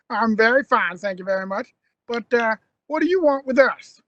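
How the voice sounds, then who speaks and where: A woman's voice: a little high, lively intonation, unhurried, fairly bright tone, lo-fi sound. A woman playing a brash, impatient young male traveller in an audio drama, blunt and defiant in manner. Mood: bemused.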